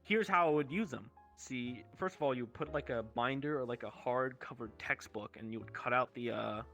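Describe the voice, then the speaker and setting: A young man casually telling rambling stories to friends in a slangy, sweary, animated way. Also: medium pitch, normal speed, soft background music. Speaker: show host telling stories.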